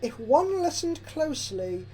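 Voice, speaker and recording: A woman reading a horror story aloud as dramatic, expressive narration with a suspenseful delivery. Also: high-pitched, unhurried. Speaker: fan fiction narrator. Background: background music.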